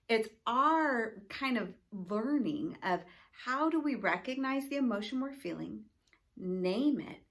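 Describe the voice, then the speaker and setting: A woman's voice: mid-range voice, fairly bright tone. A woman educator explaining things to families and children in simple, encouraging, teacherly phrases. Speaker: school educator.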